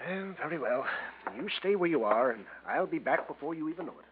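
An actor voicing a meek husband who goes along with whatever he's told, halting and obliging. The sound rings with echo and heavy hiss from an old muffled broadcast. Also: fairly high pitch, animated, close to an everyday voice. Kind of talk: 1940s radio acting. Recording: echoey, hissy old radio recording.

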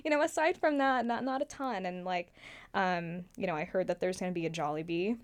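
A woman's voice: lowish voice, fairly quick, crowd noise. A woman in her twenties from the Philippines with an American accent, chatting as an interview guest with many fillers and frequent laughs. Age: 20s. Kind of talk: casual conversational interview.

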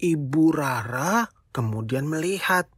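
A storyteller telling a children's tale in an expressive, playful way, speaking straight to young listeners with exclamations and questions. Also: medium pitch, slow pace, breathy edge, no echo, clean studio sound. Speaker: children's storyteller, show host.

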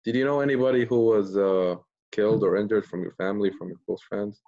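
Host: blunt, casual man, gravelly dark voice, dull lo-fi sound. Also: on the low side, fairly even intonation, fast. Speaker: podcast host. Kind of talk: casual interview.

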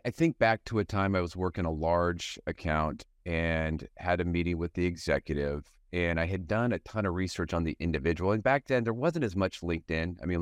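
A middle-aged man with a very low, deep voice, speaking as an interview guest. He tells stories from his working life in a reflective, unhurried way, with lots of "you know" fillers. The sound is dry and close, with no room echo. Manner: thoughtful storyteller, frequent "you know" fillers. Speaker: guest, sales professional.

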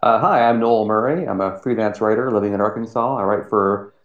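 A man in his late forties chatting as a podcast guest in a halting way, often repeating words. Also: on the low side. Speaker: podcast guest, freelance writer. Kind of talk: casual interview chat. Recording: dull, echoey remote-sounding recording.

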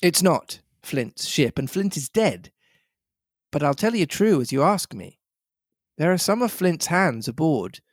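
Woman reading a polite young boy, plain measured speech, slow, dry close sound. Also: sits low, big pitch swings, husky, no room sound, full character voice. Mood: cautious. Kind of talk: storybook read-aloud.

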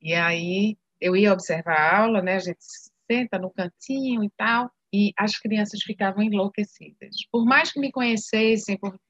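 A woman interviewer chatting casually, relaxed, with small hesitant repeats. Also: medium pitch, moderate pace, hardly any pauses, dry recording. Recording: dull, dry recording.

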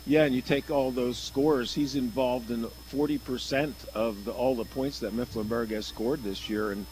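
A man giving unscripted football analysis as a radio color commentator, halting and repeating words as he thinks aloud. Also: medium pitch, steady tone, a little mellow, slight background noise, poor audio.

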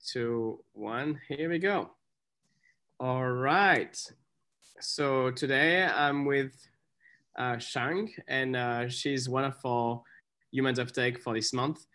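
A man's voice: medium pitch, takes it slowly, pushed and bright. A man hosting an interview, chatty and encouraging, with many fillers and restarts. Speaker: interview host. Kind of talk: casual interview.

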